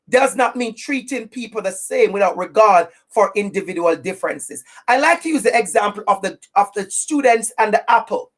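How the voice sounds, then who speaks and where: Jamaican woman, equity trainer, slightly high voice, emphatic and repetitive delivery. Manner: emphatic, preacherly, with deliberate repetition. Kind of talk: webinar presentation.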